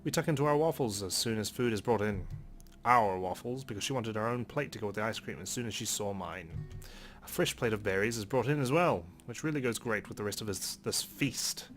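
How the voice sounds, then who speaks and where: A man's voice: fairly low pitch, fairly quick, fairly bright tone. A man hosting a let's play, reading visual novel narration aloud expressively with wry asides, over faint game music.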